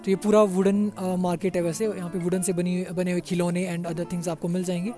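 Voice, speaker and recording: A man's voice: high-pitched, flat delivery, fairly quick, mellow, faint music behind. A young man, giving a chatty walking-tour commentary outdoors on a busy street, slipping English words into his talk. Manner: chatty, says "yaar" a lot, mixes in English. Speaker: travel vlogger.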